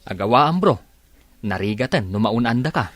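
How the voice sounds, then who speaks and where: A man's voice: medium pitch, expressive, moderate pace, fairly bright tone, no room sound. An actor with an Ilocano accent playing a concerned young man who urges his friend on in a radio drama. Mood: urging, concerned. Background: music.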